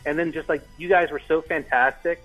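A man in casual conversation with a slightly high voice. He talks very fast, in halting bursts of enthusiasm. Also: fairly even intonation, husky, muffled recording, soft background music. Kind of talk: casual chat.

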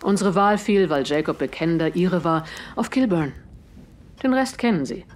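A husky, grieving widow. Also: female voice, fairly low pitch, animated, normal speed, darkish tone. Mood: sorrowful, earnest. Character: grieving widow of a murdered man, earnest and composed. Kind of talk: German audio drama acting.

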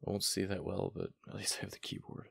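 A man voicing a flustered, affectionate young gamer boyfriend in a boyfriend ASMR roleplay, with a deep, gravelly, halting murmur. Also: fairly even intonation, a bit bright, exaggerated voice. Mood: panicked pleading.

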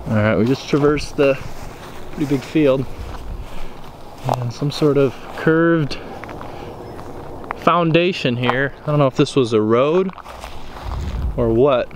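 A man's voice: medium pitch, takes it slowly, long pauses. An American man hosting an exploring vlog, talking casually and curiously to viewers as he walks. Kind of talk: casual walking commentary to viewers. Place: outdoors in an open overgrown field. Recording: noisy outdoor camera audio.

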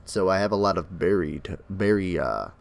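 A young man hosts his own game commentary, talking casually through every step of his play. His voice is a little low and crackly from a cold, with extra bass in it. His pitch swings a lot as he chats. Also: moderate pace, creaky. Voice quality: extra bass from an allergic reaction. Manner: chatty, animated narration of his own play. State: sick, crackly voice. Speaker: gaming channel host. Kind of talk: Minecraft let's play commentary.

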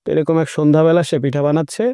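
A man's voice: a little high, little pitch movement, quick pace, warm tone, clean tone, no room sound, clean studio sound. A man with a Bangladeshi accent, casually telling anecdotes in a group chat.

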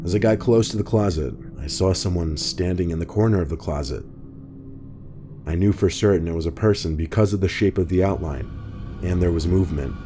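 Deep-voiced man narrating horror tales. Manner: calm, measured storytelling delivery. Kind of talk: scripted first-person narration. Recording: music bed underneath.

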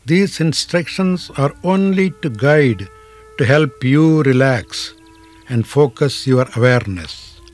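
A man narrating a guided relaxation as a yoga teacher, in measured, deliberate phrases with frequent pauses. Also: medium pitch, animated, soft background music.

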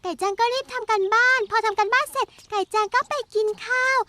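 A woman playing an excitable, dramatic young schoolgirl in a cartoon dub. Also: extremely high pitch, quick pace, very noisy. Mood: eager. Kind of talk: Thai cartoon dubbing. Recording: loud music behind.

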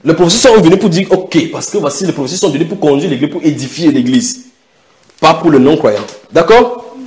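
A man's voice: fairly high pitch, a little mellow. A man preaching a sermon, questioning the congregation and stretching out key words for emphasis. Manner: emphatic, questions the audience, drawn-out stresses.

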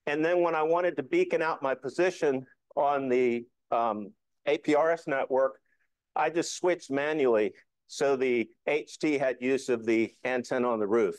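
A man's voice: medium pitch, moderate pace, clean tone, dry recording, rough recording. A man presenting an informal slide talk to a hobby club, explaining things in a folksy, joking, hands-on way. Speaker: amateur radio club presenter.

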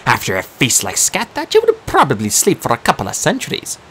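A man voicing a trio of cold, ancient Valkyrie warrior women in an audiobook, slow and threatening. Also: mid-range voice, huge pitch swings, a bit bright, breathy edge, exaggerated voice. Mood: menacing. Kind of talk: audiobook character voice.

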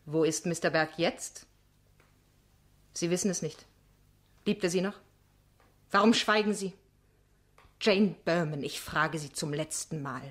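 A woman's voice: on the low side, measured pace, long pauses, close to an everyday voice. An actress playing a determined young woman barrister, insistent and probing, in a German radio play. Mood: pressing, accusing. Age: young adult.

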